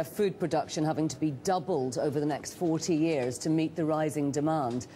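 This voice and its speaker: Low-voiced woman news anchor. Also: warm tone, a little hiss or hum. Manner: brisk questioning with some restarts. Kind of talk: live news interview. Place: TV studio.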